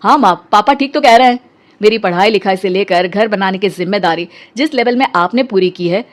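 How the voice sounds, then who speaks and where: A woman's voice: mid-range voice, brisk, a little hiss or hum, close to an everyday voice. A woman voicing a supportive, earnest young adult son in a cartoon story.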